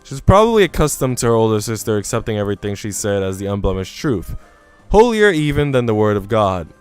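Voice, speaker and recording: A man's voice: on the low side, big pitch swings, fast, clean tone. A man streaming casually, chatting and reading in an informal, slangy way. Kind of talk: casual livestream chat and visual novel reading. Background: faint music.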